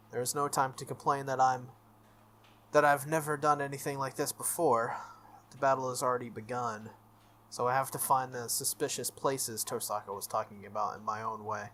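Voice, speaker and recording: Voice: mid-range voice, fairly even intonation, a little fast, a little hiss or hum. A let's-play host reading a visual novel aloud and adding offhand, chatty commentary. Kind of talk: scripted reading with casual commentary. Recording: music under the voice. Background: soft game music.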